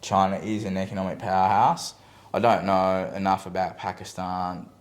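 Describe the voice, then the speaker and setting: A young Australian man talking in a casual podcast conversation, measured and thoughtful, with frequent pauses and "um"s. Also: low-pitched, level tone. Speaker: guest.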